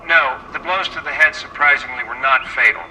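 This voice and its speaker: An actor playing a matter-of-fact coroner in a video game crime drama, speaking in a clinical manner. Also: male voice, mid-range voice, fairly quick, bright, forceful tone, a little hiss or hum, poor audio. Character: matter-of-fact male coroner giving autopsy findings.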